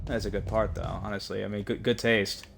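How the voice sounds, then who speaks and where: A man's voice: fairly low pitch, fairly even intonation, brisk, gravelly, a little hiss or hum. Joking, foul-mouthed game streamer man. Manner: profane, joking, rambling with restarts. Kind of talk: casual live-stream commentary.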